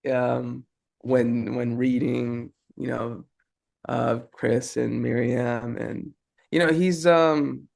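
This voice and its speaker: A young man, an actor, thinking aloud haltingly in an interview and repeating his words. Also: mid-range voice, steady tone, creaky, darkish tone. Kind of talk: casual interview chat.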